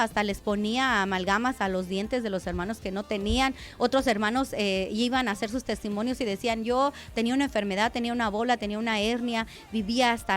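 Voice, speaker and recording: A woman pastor giving a conversational radio sermon, often addressing listeners as brother. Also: a little high.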